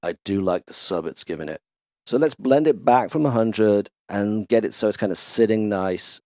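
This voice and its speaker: A man hosting a casual music production tutorial, chatty and enthusiastic as he walks through software instruments in his home studio. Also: lowish voice, moderate pace. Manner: relaxed, chatty, enthusiastic demonstrator. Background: instrument demo music.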